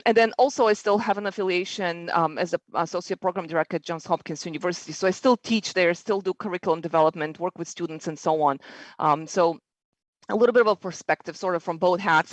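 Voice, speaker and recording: A woman's voice: fairly low pitch, no echo, rough recording. A middle-aged woman from Bulgaria, giving a friendly conference keynote over a video call, with frequent ums and restarts. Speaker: keynote speaker and educator.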